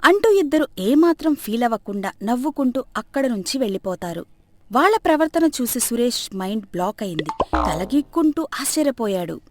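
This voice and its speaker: A woman's voice: fairly high pitch, big pitch swings, fairly quick. A woman narrating a comic moral story as a scripted storyteller.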